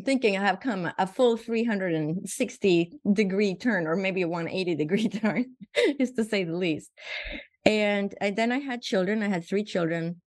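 A woman's voice: on the low side, dry recording. A woman in her sixties from Sweden, earnestly telling her life story as a podcast guest, often restarting and repeating words. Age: 60s. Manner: earnest, reflective, frequent restarts and repetitions. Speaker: guest physician. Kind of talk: conversational storytelling.

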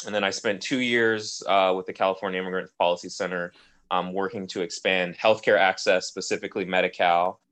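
A young man co-hosting a podcast, speaking in a measured, articulate way with frequent ums. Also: low voice, fairly even intonation, fairly bright tone. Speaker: podcast co-host, policy advocate. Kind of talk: casual podcast conversation.

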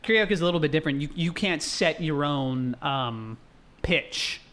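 A man's voice: fairly high pitch, animated, normal speed, fairly bright tone. A tipsy young man co-hosting a podcast, chatting casually and rambling with fillers, laughs and swearing. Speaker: podcast co-host. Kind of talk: casual drunken chat.

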